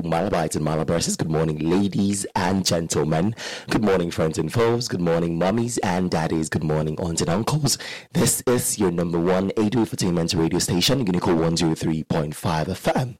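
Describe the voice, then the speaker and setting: A Nigerian man hosting a morning radio show, hoarse from losing his voice, chatting in an upbeat, repetitive patter. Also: deep voice, darkish tone, dry recording, low-quality recording.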